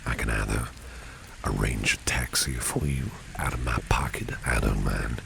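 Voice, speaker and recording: A man playing a protective, flirtatious boss in an ASMR roleplay, speaking softly and suavely in a gravelly, breathy near-whisper. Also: a bass voice, exaggerated voice. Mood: protective, gentle. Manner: slow, suave, soft-spoken. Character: protective, flirtatious male boss, smooth and courtly. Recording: steady rain noise behind the voice. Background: rain and street ambience.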